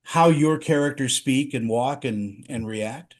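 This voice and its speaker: A man's voice: mid-range voice, a little slow. A man hosting an interview, asking the cast questions and laughing along. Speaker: interview host.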